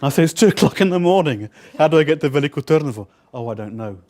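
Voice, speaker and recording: A man's voice: fairly high pitch, lively intonation, fast, mellow. Wry British man telling a story. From United Kingdom. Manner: wry, dry humor in anecdotes; conversational, acts out quoted exchanges. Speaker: guest speaker, interpreter. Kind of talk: storytelling talk to a live audience.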